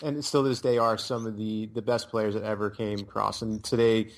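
A man co-hosting a sports talk show, asking halting, rambling questions in a casual way. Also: on the low side, flat delivery. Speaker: podcast co-host. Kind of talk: casual interview chat.

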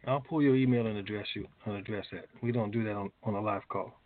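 A man's voice: lowish voice, steady tone, quick pace. A man hosting a conference call, making informal announcements and answering callers over a phone line. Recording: phone-like narrow-band conference call audio.

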